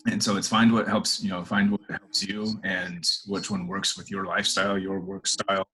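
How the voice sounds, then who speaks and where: A man's voice: low-pitched, flat delivery, normal speed, sharp tone, poor audio. A middle-aged man answering interview questions in a hesitant, casual way, full of fillers and restarts. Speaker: interview guest, corporate professional.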